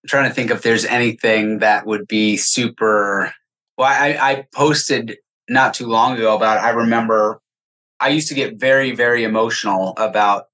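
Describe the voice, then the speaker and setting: A man's voice: fairly low pitch, steady tone, moderate pace, sharp tone, low-quality recording. A man speaking as an interview guest, casual and anecdotal, voicing quoted remarks as he explains. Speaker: podcast guest, software sales executive.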